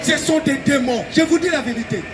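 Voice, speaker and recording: A man's voice: extremely high pitch, fairly quick, noisy background. A man from Belgium preaching an evangelistic sermon in an urgent, exhorting and emphatic way, repeating his phrases. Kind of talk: fervent open-air evangelistic sermon.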